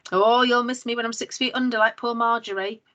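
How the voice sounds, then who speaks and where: A woman's voice: fairly high pitch, steady tone, fairly quick, fairly bright tone, dull audio, voice pushed up, lightly acted. A woman doing a bossy old Irish granny. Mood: fretful. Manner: scolding, bossy, fretful. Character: fussy older Irish grandmother, gentle but firm.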